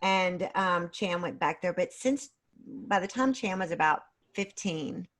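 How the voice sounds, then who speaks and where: A middle-aged woman chatting casually as an interview guest on a video call, speaking in a hesitant, halting way and often restarting her phrases. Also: on the low side, lively intonation, a bit bright. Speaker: guest author and creative writing teacher.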